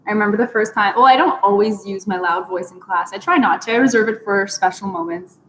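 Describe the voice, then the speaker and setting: A woman's voice: medium pitch, fast talker, a bit bright. A woman co-hosting a martial arts gym podcast, teasing and laughing in casual chat. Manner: playful, teasing, laughs a lot. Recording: echoey room with faint noise.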